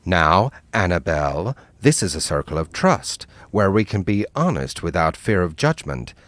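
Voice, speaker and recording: A patient support-group leader, played by a man, speaks soothingly in a very deep voice. His pitch still moves about a lot. It is recorded close and dry. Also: very animated, moderate pace, fairly bright tone, dry recording. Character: calm male leader of a villains' support group. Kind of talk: comedy sketch acting.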